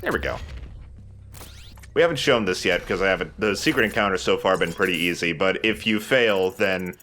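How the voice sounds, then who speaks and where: A man's voice: sits low, lively intonation, brisk, pushed and bright, slight background noise. A man giving casual, joking, chatty commentary while playing a video game. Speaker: let's play host playing the game.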